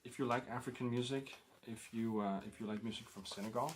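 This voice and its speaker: A man's voice: mid-range voice, steady tone, a little fast, background noise. A man with a Danish accent, a native Danish speaker, casually showing off records in a rambling vlog full of ums and uhs. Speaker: record collector vlogger.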